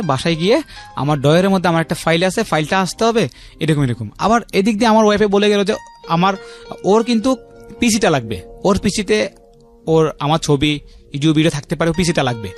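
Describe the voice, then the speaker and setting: A man in his thirties with a Bangladeshi accent, telling his personal story as a radio interview guest, repeating himself and often adding check-in tags. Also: on the high side. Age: 30s. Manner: repetitive, uses frequent check-in tags. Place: radio studio. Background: faint music.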